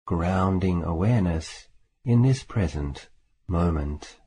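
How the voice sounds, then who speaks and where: A man leading a guided meditation as a teacher, speaking in a calm, measured way with long pauses between phrases. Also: low-pitched. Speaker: Buddhist monk, meditation teacher. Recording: faint background hiss.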